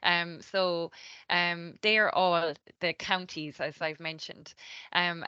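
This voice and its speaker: An Irish woman runs an online training over a video call, explaining points patiently and filling gaps with "um" and "you know." Her voice sits a little low yet sounds bright and very clear. The call audio is dry and slightly muffled. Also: dry recording. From Ireland. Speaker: cultural trainer.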